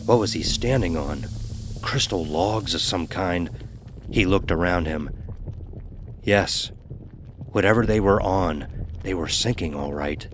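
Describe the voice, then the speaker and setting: A man narrating a scripted horror story in a measured, dramatic, ominous manner. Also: low-pitched, slight background noise.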